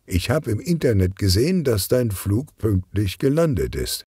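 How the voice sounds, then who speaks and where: An efficient, eager woman who runs a gangster's business, put on by a male narrator in an audiobook crime story. The pitch is lifted and animated, and the recording is dry. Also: moderate pace, husky, no echo, exaggerated voice. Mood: eager, relieved. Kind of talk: audiobook character dialogue.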